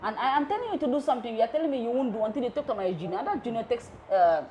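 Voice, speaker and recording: A woman's voice: a little high, animated, very fast, warm tone, dull audio, slight background noise. A woman, an electrical engineer speaking as a guest in a TV interview, earnest and emphatic. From The Gambia. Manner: earnest and emphatic, slipping local words into her English. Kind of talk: live TV interview.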